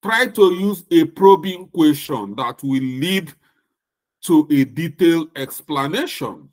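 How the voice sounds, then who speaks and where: Middle-aged Nigerian-accented male lecturer, slightly high voice, slow and deliberate. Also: animated, a little mellow. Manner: slow, deliberate, with emphatic repetition. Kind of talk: recorded lecture.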